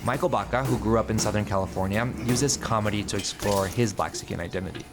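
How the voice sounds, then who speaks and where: Man narrating a report over loud music. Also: fairly low pitch, fast. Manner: clear, steady reporting. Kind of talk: scripted narration.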